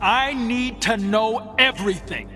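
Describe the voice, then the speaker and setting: High-pitched, agitated young man. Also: unhurried. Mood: angry, demanding. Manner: agitated, confrontational. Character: desperate, angry young man demanding answers. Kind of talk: scripted game dialogue. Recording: loud music behind.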